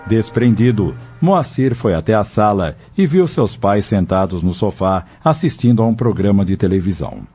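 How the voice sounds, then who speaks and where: Audio novel narrator: male, dark mellow tone, fairly low, scripted storytelling over soft music. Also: animated, moderate pace, hardly any pauses. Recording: dull lo-fi narration recording.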